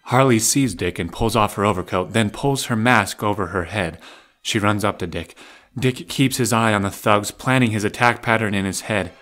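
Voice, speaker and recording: A man's voice: on the low side, moderate pace, fairly bright tone. A man reading scripted narration as the narrator of an audio drama.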